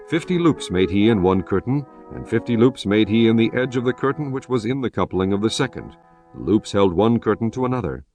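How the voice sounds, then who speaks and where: A man reading scripture as a narrator, in a measured, formal scripted style. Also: lowish voice, darkish tone. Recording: music bed behind the voice.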